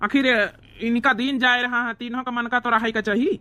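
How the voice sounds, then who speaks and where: Man playing a sharp-voiced Awadhi elder brother. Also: very high-pitched, steady tone, moderate pace, no echo. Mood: earnest. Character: proud, doting village elder brother. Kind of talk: cartoon comedy dialogue.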